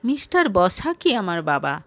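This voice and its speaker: A man's voice: sits high, wildly swooping pitch, unhurried, bright, forceful tone, dry recording, exaggerated voice. A woman voicing a distraught young male boss in an audio story, hurt, stubborn and pleading like a child. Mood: bitter, resolute.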